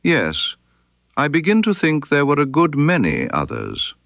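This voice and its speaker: A man voicing a keen, composed detective in an audiobook story, with precise, deliberate and quietly probing speech. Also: fairly high pitch, wildly swooping pitch, creaky, dry recording, lo-fi sound, pitched above the performer's own voice, full character voice. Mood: pleasantly probing. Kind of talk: audiobook character dialogue.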